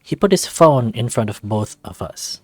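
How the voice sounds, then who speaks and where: Male visual novel reader, mid pitch, close dry recording with soft music behind. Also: expressive, moderate pace, dry recording. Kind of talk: reading a visual novel aloud, narration and dialogue.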